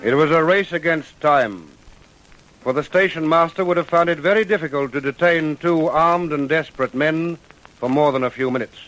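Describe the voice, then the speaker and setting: A man narrating a scripted radio drama in a measured storytelling manner. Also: a little high, fairly bright tone, soft background music, low-quality recording.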